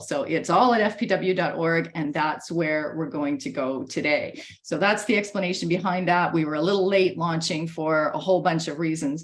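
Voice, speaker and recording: Woman, slightly hoarse, lowish pitch, chatty webinar presenter, video-call mic. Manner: chatty, many fillers and restarts. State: losing her voice. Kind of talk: casual presentation. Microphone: computer mic on a video call.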